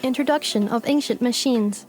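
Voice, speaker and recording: A woman plays a dutiful robot maid from a video game, with a fairly high voice over clear music. She speaks in formal, clinical, deadpan statements, as if filing each item. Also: moderate pace, natural voice.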